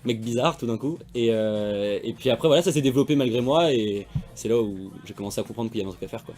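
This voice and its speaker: A man's voice: mid-range voice, fast, darkish tone. A young man from France, a magician guest, chatting casually in slangy, rambling talk. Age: young adult. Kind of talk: casual interview chat.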